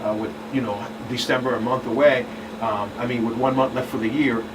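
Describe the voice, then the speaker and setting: A man's voice: medium pitch, fairly even intonation, fairly quick. A man speaking informally and a little hesitantly as a panelist in a discussion. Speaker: talk show panelist. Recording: distant mic, air conditioning hum.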